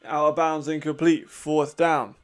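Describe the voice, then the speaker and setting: A man hosting a watch-along, giving casual live commentary with a raspy voice, chatty and laughing often. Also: fairly high pitch, flat delivery, measured pace, soft background music. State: hay fever.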